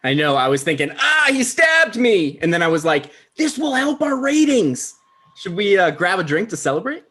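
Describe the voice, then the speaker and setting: A man's voice: high voice, big pitch swings, normal speed, sharp tone, heavily acted. A man playing an awkward, self-important online show host in a comedy table read, stammering a little. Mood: upbeat.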